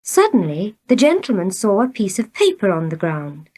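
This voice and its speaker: A woman reading short tales as scripted narration, in a measured, deliberate manner. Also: medium pitch, wildly swooping pitch, a little mellow. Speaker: storybook reader.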